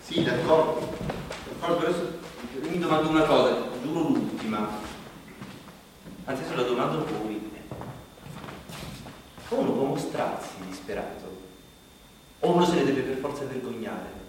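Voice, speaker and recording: A man's voice: mid-range voice, expressive, moderate pace, long pauses, close to an everyday voice. An actor playing a desperate, self-conscious man who confesses in a hesitant, repetitive, pleading stage monologue. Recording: distant echoey hall, faint noise.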